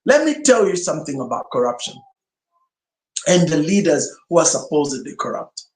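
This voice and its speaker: A man's voice: on the high side, sing-song, moderate pace, a little mellow. A male pastor whose first language is Swahili, giving an emphatic sermon-style teaching talk full of rhetorical questions. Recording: roomy echo.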